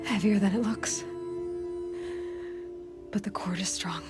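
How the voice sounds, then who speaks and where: An actress playing a stubborn, wry young outcast huntress with a vulnerable, earnest side, in a dramatic video game. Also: female voice, mid-range voice, slow pace, over loud music, lightly acted. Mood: hurt, subdued.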